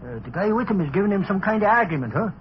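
Dim, high-voiced radio sidekick. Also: male voice, very animated. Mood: amazed. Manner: slow-witted, exclamatory, folksy slang. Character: dim, excitable, good-natured slangy male detective sidekick. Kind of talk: 1940s radio acting. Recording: dull, noisy old radio recording.

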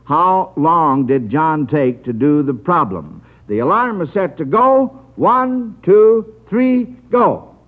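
Animated male host on vintage radio. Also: on the high side, takes it slowly. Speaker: quiz show host. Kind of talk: live 1950 radio quiz show hosting. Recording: old radio broadcast, narrow tinny sound.

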